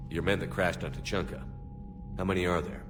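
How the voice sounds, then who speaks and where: An actor playing a steadfast human military commander in a video game, speaking firmly, directly and seriously. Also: male voice, sits low, level tone, fairly quick, distant mic, over music, natural voice. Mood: insistent. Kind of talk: video game cutscene acting.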